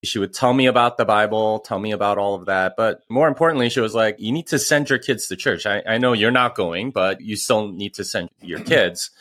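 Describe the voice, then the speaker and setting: Korean-born man in his forties, guest on a talk podcast: low, bright-edged voice, dry close sound, thoughtful pacing with restarts. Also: no room sound. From South Korea. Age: 40s. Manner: reflective, pausing with frequent restarts. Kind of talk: conversational interview.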